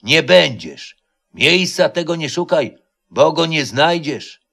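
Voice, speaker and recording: Man reading as a solemn, slow-spoken legendary knight. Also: on the high side, expressive, gravelly, pushed and bright, slightly rough, full character voice. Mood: stern command. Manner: grave, declamatory. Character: solemn commanding sleeping knight warrior of legend.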